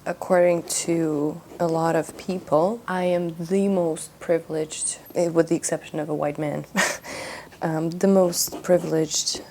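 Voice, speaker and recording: A woman's voice: deep voice, steady tone, unhurried, warm tone, slight background noise. A young woman from Russia, exhausted after a late shift, musing casually while she paints, with loose restarts and fillers. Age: young adult. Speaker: art vlogger.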